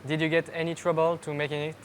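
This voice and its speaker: A man's voice: fairly high pitch, completely flat delivery, fast talker, a little hiss or hum. A man co-hosting a live event in a casual, conversational way. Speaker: co-presenter.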